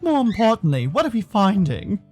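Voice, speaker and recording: A man's voice: high voice, big pitch swings, normal speed, a little mellow, soft background music. An American man voicing a curious, skeptical young furry protagonist who narrates and talks in a visual novel, with a dry questioning manner.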